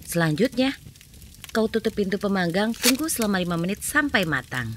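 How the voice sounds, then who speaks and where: Sly coaxing fox. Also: female voice, medium pitch, very animated, normal speed, fairly bright tone. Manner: sweet coaxing, sing-song. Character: sly scheming female fox posing as a bunny. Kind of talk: animated cartoon dub. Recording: noisy cartoon soundtrack.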